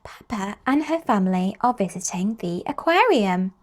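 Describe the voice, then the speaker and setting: A woman reading picture books aloud to young children in an expressive, slow storytelling manner. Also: mid-range voice. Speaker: children's storybook reader and host.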